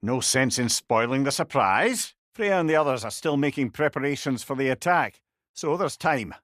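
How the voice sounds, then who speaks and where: A man's voice: medium pitch, lively intonation, moderate pace, a bit bright, dry recording, studio quality, close to an everyday voice. A man playing a wise, wry old advisor in a video game, a chatty and learned storyteller with a Scottish accent. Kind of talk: video game character dialogue.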